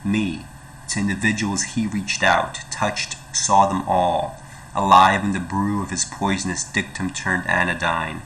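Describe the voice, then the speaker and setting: Male poetry reader, gravelly and intense-edged, deliberate emphatic delivery, slightly noisy recording. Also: low voice, level tone, bright, forceful tone. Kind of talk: dramatic poetry recitation.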